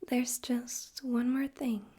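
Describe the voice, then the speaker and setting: A woman voicing a smug, theatrical male demon overlord in an ASMR roleplay, in a soft breathy whisper with a deliberate, teasing, condescending manner. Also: fairly high pitch, fairly even intonation. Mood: smug, fond.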